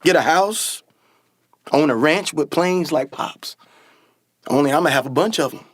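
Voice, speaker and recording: A man's voice: on the high side, unhurried, dry recording. A man playing a confident, dreamy older brother and aspiring pilot in a radio play, with an earnest, folksy storytelling manner. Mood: earnest, proud. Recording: music behind a dry voice. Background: music.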